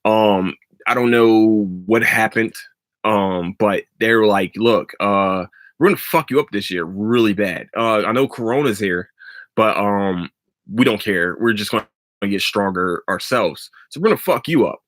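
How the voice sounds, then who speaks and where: Dude hosting his own podcast, loud bright voice, cussing and rambling, kinda stuffy, dry close mic. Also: fairly low pitch, a little slow, no echo. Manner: excitable, profane, rambling, laughs often. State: stuffy from allergies. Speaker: podcast host. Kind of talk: solo casual podcast talk.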